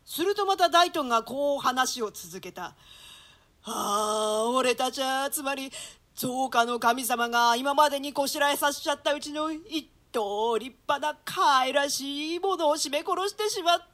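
A woman playing a rough male hired killer shaken by pity, telling it in a comic storyteller's style from a Shakespeare play. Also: high-pitched, unhurried, a bit bright. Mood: anguished storytelling. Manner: coarse colloquial speech, swinging into theatrical quoted voices.

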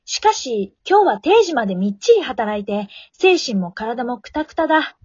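A woman's voice: a little high, very animated, normal speed, darkish tone, slightly rough, no echo. A woman playing a tired working woman who narrates her struggle to sleep in a radio drama monologue. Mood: weary, resolute. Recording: dull lo-fi remote home recording.

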